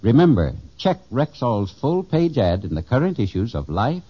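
Husky guy doing an old time radio ad, lively pitch, muffled vintage sound. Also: moderate pace. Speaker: commercial announcer. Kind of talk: 1950s radio ad read. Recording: dull old radio recording.

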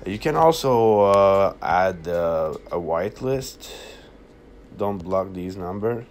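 Slow, low-voiced man. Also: a little mellow, a little hiss or hum. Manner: halting, hesitant delivery. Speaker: app tutorial presenter. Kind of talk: casual unscripted screen-recording walkthrough.

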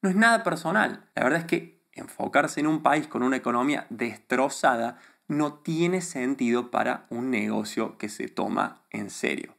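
A man in his thirties from Argentina, with a softened Argentine accent, confidently advising viewers straight to camera from a small studio with a desk microphone. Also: medium pitch, animated, normal speed, gravelly, hardly any pauses. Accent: neutralized Argentine Spanish. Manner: confident, emphatic, gestures a lot with his hands. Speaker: YouTube creator. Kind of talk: direct-to-camera advice talk. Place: small studio room before a plain grey backdrop. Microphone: desk microphone in front of him.

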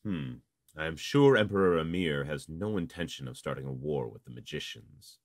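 A man's voice: very deep voice, lively intonation, normal speed, no echo, clean studio sound, exaggerated voice. A man voicing a proud, courtly human nobleman in a visual novel, speaking formally. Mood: diplomatic. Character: proud armored nobleman, a diplomatic human marquis.

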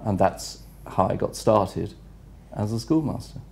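An older man, a retired headmaster, answering interview questions in a measured, reflective way with frequent pauses, recorded on a lapel mic in an office room. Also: fairly low pitch, animated, a little mellow, a little hiss or hum. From England. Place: office room with city view window.

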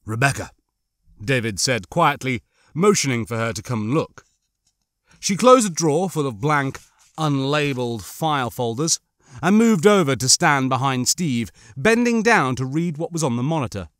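A man's voice: mid-range voice, very animated, moderate pace, fairly bright tone, no room sound, clean studio sound. A man narrating a horror novel as an audiobook, reading a dramatic scripted story.

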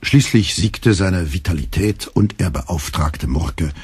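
Male literary narrator, very low, rough-breathy tone, wry delivery, dry recording. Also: takes it slowly, darkish tone, no room sound. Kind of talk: scripted literary radio play narration.

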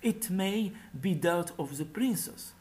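A man's voice: high voice, animated, takes it slowly, a little mellow. A man voicing a scheming beggar who dreams of riches, read aloud from a storybook.

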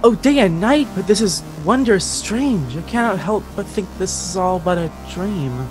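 A woman's voice: mid-range voice, expressive, normal speed, music in the background, natural voice. A woman playing a loyal young sword-bearing companion, deferential and dramatic, in an audio drama. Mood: awed. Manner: dramatic, deferential archaic phrasing.

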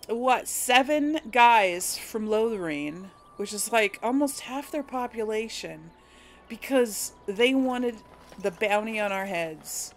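A woman's voice: fairly high pitch, expressive, slow. A woman doing casual game commentary, thinking aloud in a tentative way. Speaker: gaming video host and player. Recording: some background noise.

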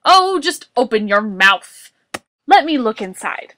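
Woman voicing a bossy, exasperated fellow, wildly animated. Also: on the high side, normal speed. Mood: fussing. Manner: scolding, emphatic, often shouting. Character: exasperated, bossy male caretaker, fussing and shouting.

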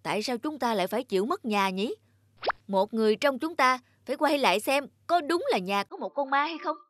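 A woman's voice: high-pitched, lively intonation, brisk, no echo, studio quality. A woman voicing a frightened male robber in a cartoon fairy tale. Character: frightened robber man telling of a haunted house.

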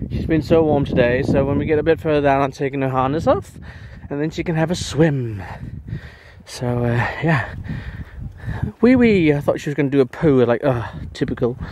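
A man's voice: fairly high pitch, normal speed. A man in his thirties from Denmark, chatting casually into a handheld camera with a rambling manner and frequent laughs. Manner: chatty, rambling, laughs often, baby-talks to his dog. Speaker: vlogger. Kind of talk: casual daily vlog. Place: outdoors on a windy beach, partly at home. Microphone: handheld camera at arm's length. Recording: wind noise on camera mic.

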